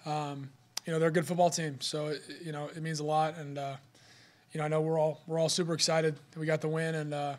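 A young man, a college quarterback, answering reporters' questions at a postgame press conference, filling his answers with "you know.". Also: a little high. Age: young adult. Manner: steady, fast, filler-heavy with "you know". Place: press room in front of a sponsor backdrop. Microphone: podium mic.

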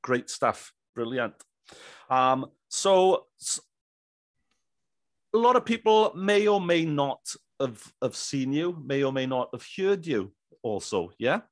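A man's voice: medium pitch, sing-song, slow pace, no room sound. A British man in his thirties hosting a casual podcast interview in an encouraging, enthusiastic way. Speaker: podcast host. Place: small indoor room, video call. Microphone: studio microphone on arm, headphones.

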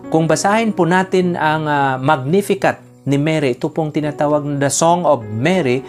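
A man's voice: fairly high pitch, soft background music. Earnest male pastor preaching to camera. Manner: earnest preaching with frequent "po" and filler pauses. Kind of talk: sermon to camera, mixing Tagalog and English.

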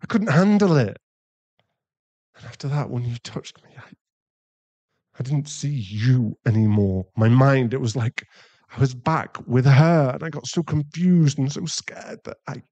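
A man playing a distraught, guilt-ridden werewolf boyfriend in an intimate ASMR roleplay, halting and pleading. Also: medium pitch, animated, dark tone, muffled recording. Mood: remorseful, shaken. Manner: halting, pleading, emotionally fragile.

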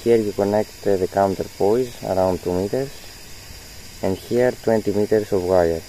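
A young man giving a hands-on tutorial in a halting, hesitant way, pausing often as he explains. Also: on the low side, dark tone, poor audio. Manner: halting, hesitant delivery with pauses. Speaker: amateur radio hobbyist presenter. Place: outdoors in a rural garden. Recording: outdoor phone recording with faint noise.

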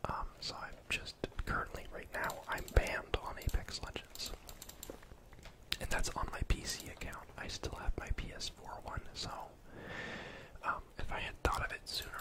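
A young man whispering casual, rambling ASMR commentary over a game, close to the mic in a breathy, unvoiced voice. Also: poor audio. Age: young adult. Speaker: gaming ASMR host. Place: indoor room at home.

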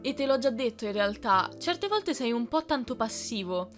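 A young woman answering questions in a casual, playful and expressive chat. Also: a little high, normal speed, faint music behind. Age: young adult. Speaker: dubbing content creator answering fan questions.

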